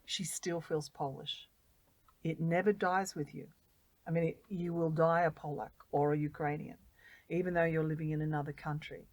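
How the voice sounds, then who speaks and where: A middle-aged woman talks in a low, deep voice, earnest and heartfelt. She often repeats words while she thinks. The sound is close and dry, with no room around it. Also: steady tone, moderate pace, darkish tone, dry recording, rough recording. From Australia. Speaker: guest volunteer. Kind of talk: casual interview.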